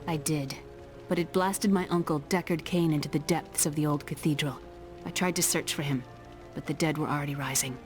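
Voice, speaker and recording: A woman's voice: deep voice, steady tone, fairly quick, close to an everyday voice. An actress playing a determined young woman of a besieged town in a fantasy video game, earnest and plain-spoken. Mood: grave, worried. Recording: game music behind.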